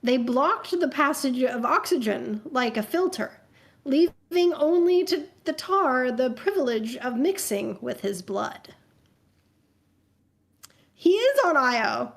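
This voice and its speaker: Female narrator, high-pitched, comic fan fiction reading with dry asides. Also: lively intonation, slow pace, gravelly. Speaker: narrator and panelist on a comedy reading show.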